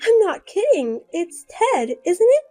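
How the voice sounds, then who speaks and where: Woman putting on a high, bouncy voice, smug scheming little sister, taunting sing-song lines, faint music underneath. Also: big pitch swings, takes it slowly, mellow, exaggerated voice.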